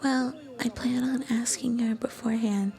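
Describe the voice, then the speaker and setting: Soft, high-voiced shy anime girlfriend, acted by a woman. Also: steady tone, moderate pace, faint music behind, natural voice. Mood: gentle, musing. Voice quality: soft, breathy, often whispering. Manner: hesitant, gentle, intimate. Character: shy, sweet, affectionate young Japanese university student girlfriend. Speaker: ASMR roleplay performer. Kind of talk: soft-spoken ASMR girlfriend roleplay.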